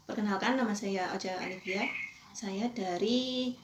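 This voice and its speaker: A woman in her twenties, a university student, answering interview questions in a relaxed, conversational way. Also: medium pitch, normal speed, echoey room, very noisy. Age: 20s.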